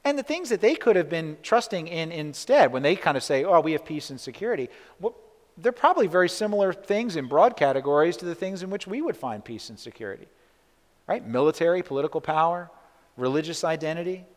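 A man preaches in a relaxed, conversational way, checking in with the congregation and often restarting mid-phrase. His voice sits a little high for a man. It rings out with a long reverb, as if in a church sanctuary. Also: fairly quick. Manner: conversational, frequent "right?" asides and restarts. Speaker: pastor. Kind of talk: sermon.

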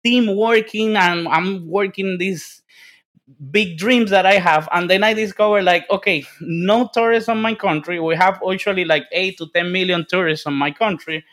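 Dominican man with a high voice, podcast guest, eager, stumbling over his words. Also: normal speed. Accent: Dominican Spanish. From Dominican Republic. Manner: energetic, repeats words and restarts, casual swearing. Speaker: guest, business development consultant. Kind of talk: casual interview storytelling.

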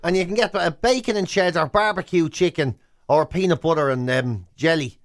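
A man's voice: a little high, fairly quick, no room sound, studio quality. An Irish man co-hosting a radio show with comic banter, incredulous and emphatic, repeating phrases for effect. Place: radio studio.

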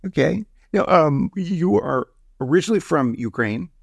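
Male podcast host, gravelly, halting delivery with restarts, dry close sound. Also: a little high, lively intonation, no room sound. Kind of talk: interview podcast.

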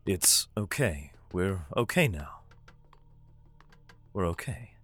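A man's voice: deep voice, very animated, takes it slowly, a bit bright, slightly rough, soft background music, exaggerated voice. A man playing a desperate, protective father fleeing underground in an audio drama, who also narrates in the first person. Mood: shaken.

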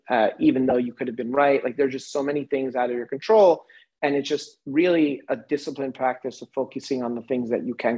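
A man's voice: mid-range voice, darkish tone, breathy edge, low-quality recording. An American man in his forties, a guest in a casual podcast conversation, rambling with many fillers and restarts. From United States. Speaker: interview guest.

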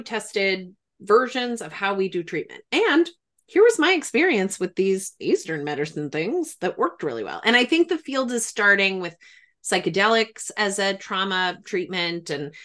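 A woman's voice: mid-range voice, fairly bright tone. A woman hosting a podcast in a casual conversational interview, speaking in an effusive, emphatic way. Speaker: podcast host and grief expert.

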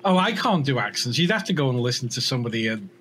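A man's voice: mid-range voice, sing-song, fast, fairly bright tone, slight background noise. An English man with a light Scouse accent, chatting easily as a podcast guest. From England. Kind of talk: casual chat.

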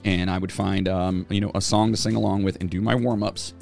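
A man's voice: low voice, level tone, a little fast, creaky, darkish tone, faint music behind. A man co-hosting a casual podcast chat, a former band singer who rambles with plenty of fillers and restarts. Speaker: podcast co-host.

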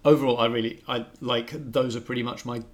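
A man's voice: medium pitch, flat delivery, quick pace. A man hosting a casual film review chat, speaking in a thoughtful, slightly hesitant way as he works through his opinions.

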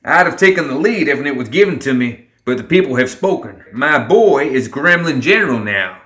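A man puts on a jowly gremlin clan boss in a fantasy story. He hollers in a raised, forceful voice, folksy and full of fatherly bluster. The room rings around him. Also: mid-range voice, expressive, normal speed, reverberant, voice pushed up, natural voice. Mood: proud.

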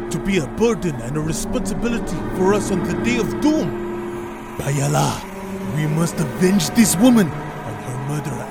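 An actor playing an imperious, wrathful Caliph in a storybook audio drama, speaking in grand, declamatory commands. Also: male voice, on the high side, vocal fry. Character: imperious, wrathful male Caliph, ruler of the realm. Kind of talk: audio drama acting, archaic storybook dialogue.

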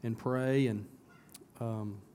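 A hoarse man, a pastor talking to his congregation in a casual, folksy way that turns emphatic. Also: medium pitch, steady tone, drawn-out words, warm tone, a little hiss or hum. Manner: folksy, conversational, rising to emphatic. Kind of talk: casual church announcements and sermon. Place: church sanctuary.

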